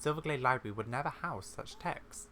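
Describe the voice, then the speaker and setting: A man's voice: medium pitch, fairly quick, sharp tone. Man reading game dialogue aloud, noisy recording. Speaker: gaming video creator. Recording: noticeable background noise.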